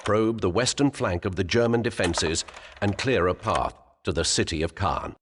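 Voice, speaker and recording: A man playing a solemn narrator in a war video game, reading documentary-style history in a measured, formal way. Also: lowish voice, in a large hall, background noise, lightly acted. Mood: grave, measured.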